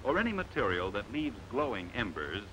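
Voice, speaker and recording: Animated American narrator, noisy vintage film. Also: male voice, lowish voice. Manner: measured, authoritative, emphatic delivery. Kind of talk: scripted 1950s instructional film narration.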